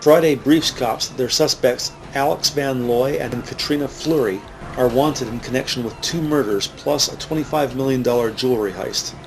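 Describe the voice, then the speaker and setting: A man narrating a scripted crime drama in a measured, matter-of-fact way. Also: mid-range voice, a little hiss or hum, poor audio. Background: music.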